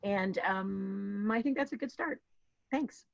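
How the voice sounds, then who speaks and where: A woman from Miami who works as a theater director and actor, introducing herself on a panel in an upbeat, expressive way. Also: mid-range voice, takes it slowly, vocal fry, no echo, highs cut off. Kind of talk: casual self-introduction on a panel.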